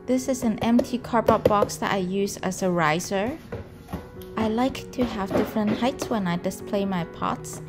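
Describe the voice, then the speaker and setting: Woman, 30s, potter vlogger, unhurried and soft-spoken over background music. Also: medium pitch. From Canada. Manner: slow, gentle, careful delivery. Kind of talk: calm explanatory vlog narration. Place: home room indoors.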